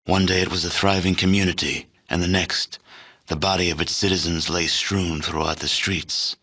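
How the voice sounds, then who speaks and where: A man playing a grave, world-weary storyteller narrating the fate of a wasteland in a video game, solemn, measured and deliberate. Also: low-pitched, steady tone, pushed and bright. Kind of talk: scripted epilogue narration.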